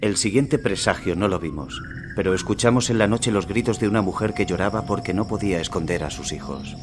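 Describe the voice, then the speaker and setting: An actor playing a grave Aztec warrior in a video game, solemnly narrating his empire's fall in measured Castilian Spanish. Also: lowish voice, background noise, natural voice. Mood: solemn, foreboding. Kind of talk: scripted dramatic narration, storytelling.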